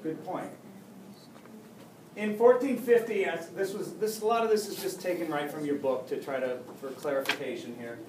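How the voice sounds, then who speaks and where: A man's voice: fairly high pitch, normal speed. Joking male teacher in an echoey classroom. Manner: joking, chatty, with many restarts. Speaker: high school history teacher. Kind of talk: casual classroom lecture. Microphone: camera far across the room. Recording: echoey classroom, faint hum, mic far off.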